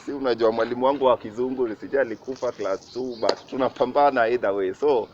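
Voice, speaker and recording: A man's voice: mid-range voice, fairly even intonation, fast. A man with a Kenyan accent hosting a casual interview, chatty and switching between English and Swahili slang. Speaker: show host. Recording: muffled with clear background noise.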